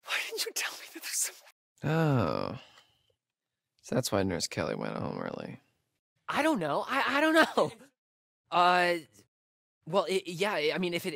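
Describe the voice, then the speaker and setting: A man's voice: a little high, a bit bright, dry recording, studio quality, full character voice. A young American man playing a nervous, geeky, wry camp counselor in a video game, with a hesitant, stammering way of talking. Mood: frantic, angry, then anxious. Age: young adult. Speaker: video game character actor. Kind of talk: naturalistic video game dialogue.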